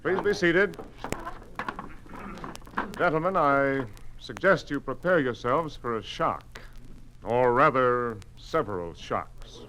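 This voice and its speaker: An actor playing a principled, frustrated museum director in a radio drama, speaking formally in a measured, emphatic way. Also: male voice, mid-range voice, expressive, sharp tone. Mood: grave, formal. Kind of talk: mid-century radio drama acting. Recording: noisy old radio recording.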